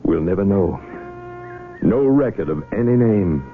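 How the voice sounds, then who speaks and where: An actor playing a doctor who explains the case in a calm, measured way. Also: male voice, sits low. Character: calm, matter-of-fact hospital doctor. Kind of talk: 1970s radio drama acting. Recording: tinny old radio broadcast with faint noise.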